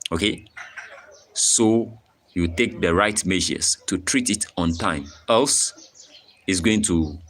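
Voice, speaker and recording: A man's voice: deep voice, a bit bright, slight background noise. A young Ghanaian man hosting a live-stream farming tutorial, explaining things step by step and checking in with a frequent "okay?". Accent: Ghanaian English. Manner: repetitive, frequent "okay?" check-ins, reads chat aloud. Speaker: poultry farmer and channel host.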